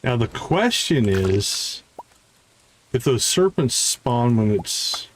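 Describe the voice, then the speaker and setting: A man giving casual live video game commentary, chatting and thinking out loud. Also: mid-range voice, sing-song, slow pace, a little hiss or hum. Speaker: gaming streamer.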